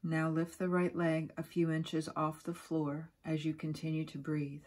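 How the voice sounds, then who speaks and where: Woman, meditation guide, husky breathy voice, very slow, soothing, gentle instructions, long pauses. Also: low-pitched, level tone. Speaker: yoga nidra guide.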